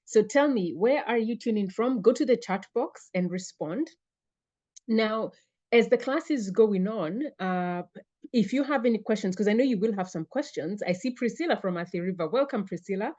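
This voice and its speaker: A woman hosts a live online class, upbeat and chatty as she welcomes viewers by name. Her voice sits at a middling pitch and comes through a computer mic on a video call. The audio is a little dull. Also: expressive, normal speed, warm tone. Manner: upbeat, greets viewers by name, repeats phrases. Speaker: webinar host and fitness coach.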